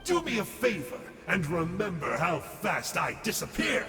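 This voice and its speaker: An actor playing a smug, theatrical villain scholar in a video game, with a teasing, mock-dramatic drawl. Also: male voice, high-pitched, huge pitch swings, moderate pace, bright, forceful tone, roomy sound, big-room sound, faint music behind, full character voice. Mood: playful, boastful.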